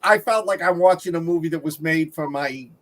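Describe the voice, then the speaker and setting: A man's voice: fairly high pitch, a little fast, fairly bright tone. A man co-hosting a film review show, talking casually with the other panelists. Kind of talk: casual panel chat about films.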